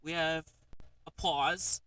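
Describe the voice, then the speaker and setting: A man's voice: a little high, fairly even intonation, takes it slowly, far from the mic, heavy background noise. Rambling man streaming, mic set back. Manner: rambling, frequent fillers and "alright?" check-ins. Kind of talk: casual livestream gadget demo.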